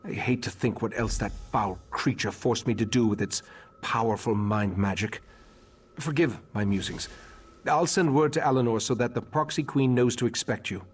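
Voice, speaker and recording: An actor playing a grave, remorseful elven mage leader in a fantasy game, speaking formally with measured, slightly husky delivery. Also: male voice, mid-range voice, natural voice. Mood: grave, regretful. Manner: measured, formal, solemn exposition. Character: grave elderly male high elf mage leader, remorseful and scholarly.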